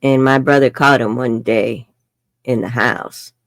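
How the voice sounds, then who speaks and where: A woman's voice: very deep voice, fairly even intonation, measured pace, no echo. A woman, telling her life story frankly as an interview guest and laughing often. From New York. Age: 70s. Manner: frank and chatty, laughs often, says "bloody". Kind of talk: candid personal storytelling in an interview.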